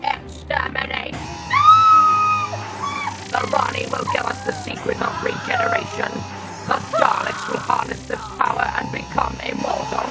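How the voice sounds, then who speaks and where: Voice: high-pitched, wildly swooping pitch, measured pace, creaky, heavily acted, rough recording. An actor voicing a fanatical alien war machine, shrieking staccato commands through a robotic filter in a sci-fi audio drama. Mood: fanatical, commanding. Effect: robotic ring-modulated voice filter. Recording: loud music over the voice.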